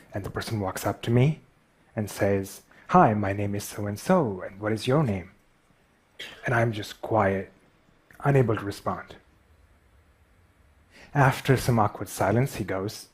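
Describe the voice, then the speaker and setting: A man's voice: lowish voice, breathy edge. A man with a Pakistani accent telling a personal story, a speaker who stutters and pokes fun at himself. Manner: stutters, self-deprecating. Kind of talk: storytelling talk.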